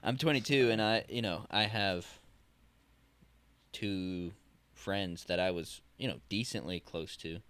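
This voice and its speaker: Casting: low-voiced, unhurried young man, podcast co-host, halting and searching for words. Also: a bit bright, smooth, no echo. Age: 20s. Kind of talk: casual podcast conversation.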